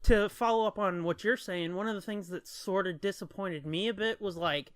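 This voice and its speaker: A man's voice: high-pitched, normal speed, a bit bright, no room sound. A man co-hosting a podcast in casual chat, with a crude, jokey, profane manner. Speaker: podcast co-host. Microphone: USB condenser microphone.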